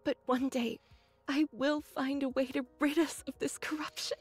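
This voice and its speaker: An actress playing an earnest, scholarly young android researcher in a video game, speaking in a measured, precise way. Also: female voice, sits high, fairly even intonation, close to an everyday voice. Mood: regretful, resolute. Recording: dry studio voice, faint game music.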